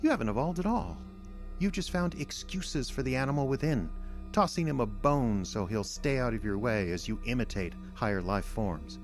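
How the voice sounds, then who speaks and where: An actor playing a cold, patient, condescending alien invader in an audio drama, lecturing in a measured, smug way with contempt for humanity. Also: male voice, mid-range voice, lively intonation, faint music behind, lightly acted. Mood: contemptuous, lecturing. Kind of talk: audio drama villain monologue.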